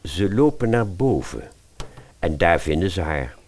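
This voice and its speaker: A man's voice: sits low, very animated, a little slow, darkish tone. A man narrating a radio fairy tale in a dramatic storytelling style.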